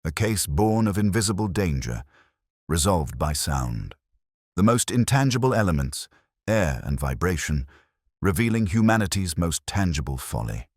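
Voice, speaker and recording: A man voicing a grave, brooding, cerebral detective in a storybook reading, speaking in measured, solemn aphorisms. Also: low voice, very animated, a little mellow, dry recording, studio quality. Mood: grim, musing.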